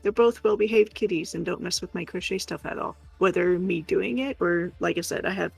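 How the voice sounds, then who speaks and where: A woman's voice: fairly low pitch, brisk, gravelly, darkish tone, slightly rough, rough recording. A woman chatting casually and informally as a co-host on a livestream. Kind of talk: casual chat.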